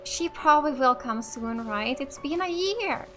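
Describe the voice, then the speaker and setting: A woman's voice: high-pitched, expressive, unhurried, fairly bright tone, faint music behind. A woman from Finland giving chatty, enthusiastic casual let's play commentary as a gaming host. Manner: chatty, enthusiastic about the game.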